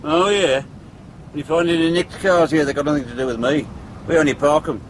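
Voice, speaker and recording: Actor as shifty British car park manager, higher-voiced. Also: male voice, steady tone, fairly quick, slight background noise, natural voice, rough recording. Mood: surly, defiant. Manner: defensive, colloquial, hedging. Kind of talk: broadcast radio drama acting.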